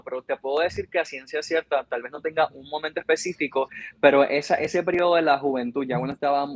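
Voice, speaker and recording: A man in his mid-twenties speaks with a Puerto Rican accent in a dry, close recording. His pitch is medium and he answers as an interview guest, reflective and earnest in a conversational way. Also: dry recording, rough recording. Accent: Puerto Rican Spanish. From Puerto Rico. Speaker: interview guest, journalist and TV reporter.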